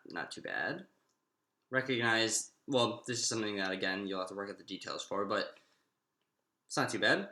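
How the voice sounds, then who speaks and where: A man giving an informal, step-by-step maths lesson, thinking aloud in a halting way and often restating himself. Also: medium pitch, pushed and bright. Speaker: maths lecturer. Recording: echoey room.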